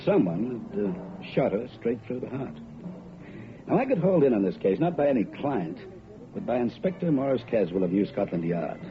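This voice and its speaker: A man's voice: mid-range voice, big pitch swings, normal speed, a little hiss or hum, natural voice. An actor playing a wisecracking, unflappable private detective who narrates his own case in slangy radio drama style. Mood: wry, narrating. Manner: wry, slangy. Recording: muffled old radio recording.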